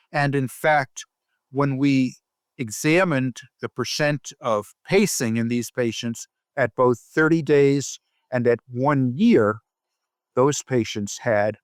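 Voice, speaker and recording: A man speaking as a physician researcher in an interview, explaining findings in a measured, methodical and precise way. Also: mid-range voice, dry recording, studio quality.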